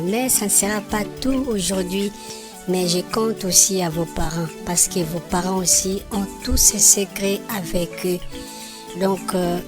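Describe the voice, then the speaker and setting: A woman voicing a kindly, devout grandmother who patiently teaches her grandchildren in an animated religious film. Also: medium pitch, lightly acted. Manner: patient, instructive, often checks understanding.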